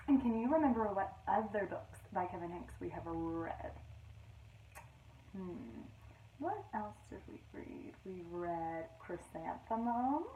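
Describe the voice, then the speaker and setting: A woman teacher reading a picture book aloud to young children in an expressive storytelling manner. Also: medium pitch, slight background noise. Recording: roomy echo, distant microphone.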